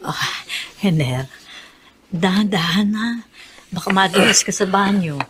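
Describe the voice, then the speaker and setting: A woman playing a patient, forgiving wife and mother in a Filipino radio drama, gentle and pleading. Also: mid-range voice, unhurried, a little hiss or hum, lightly acted. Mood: gentle concern.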